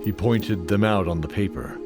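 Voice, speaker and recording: A man narrating a scripted fantasy audio drama in a deliberate, atmospheric storytelling style. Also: low-pitched, loud music behind the voice.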